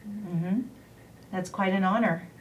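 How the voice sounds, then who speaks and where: A woman pastor interviewing an elder, asking short questions and offering soft affirmations. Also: mid-range voice, fairly even intonation, takes it slowly, soft background music. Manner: gentle prompting with short affirmations. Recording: voice distant from the mic.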